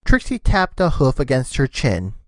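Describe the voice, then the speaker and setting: A woman giving an expressive, scripted narration of a story. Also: very deep voice, normal speed, dry recording, studio quality.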